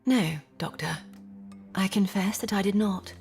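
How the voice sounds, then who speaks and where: An anxious, well-mannered young Victorian lady in an audio drama. Her medium-pitched voice is formal and earnest. There is faint music in the background. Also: a little slow, vocal fry, darkish tone. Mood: uneasy.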